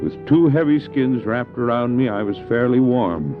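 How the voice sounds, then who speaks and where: A man's voice: medium pitch, animated, narrow, tinny audio, over music, close to an everyday voice. A man acting a stranded, devout doctor and dog sledder in an audio drama, earnest and theatrical, anguished but resolute, on an old cassette recording. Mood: grave narration. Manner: earnest, theatrical, halting when speaking in character. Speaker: narrator and lead actor. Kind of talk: dramatized first-person adventure narration, audio drama.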